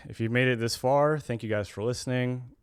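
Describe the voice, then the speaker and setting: A man's voice: medium pitch, fairly even intonation, fairly quick, clean tone, no room sound, studio quality. A young adult man co-hosting a casual podcast, rambling and correcting himself as he talks. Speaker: podcast co-host.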